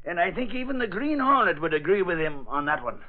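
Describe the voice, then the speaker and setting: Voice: medium pitch, big pitch swings, fast talker, very noisy, lightly acted. An actor playing a wry, blustery American police sergeant with colloquial, sarcastic cop talk in a 1940s radio drama. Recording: dull vintage 1940s radio broadcast.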